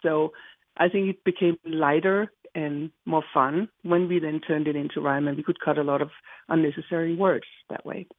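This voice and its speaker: A woman's voice: low voice, steady tone, normal speed, no echo. Middle-aged Danish-accented woman, cheerful rambling guest, call-in phone quality. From Denmark. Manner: chatty, cheerful, rambling with restarts. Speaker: guest, children's book author. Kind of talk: interview. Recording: tinny phone line.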